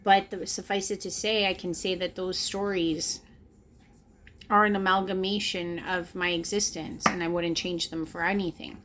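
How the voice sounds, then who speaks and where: A woman's voice: fairly low pitch, steady tone, normal speed, fairly bright tone, slight background noise. A woman in her late thirties talking to camera, earnest and confessional, repeating phrases for emphasis as she reflects on her own life. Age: late 30s. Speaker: spiritual vlogger. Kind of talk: personal reflective monologue to camera. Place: indoors, in front of a camera.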